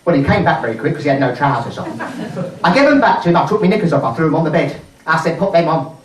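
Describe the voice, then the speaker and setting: A man's voice: a little high, very animated, slight background noise. A man playing a cheeky middle-aged widowed nurse as a pantomime dame, doing stand-up comedy in a mock-mournful, saucy manner. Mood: storytelling. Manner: mock-mournful, saucy, delivering gags with deliberate pauses. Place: indoor charity show before a live audience. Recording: roomy live venue, distant microphone.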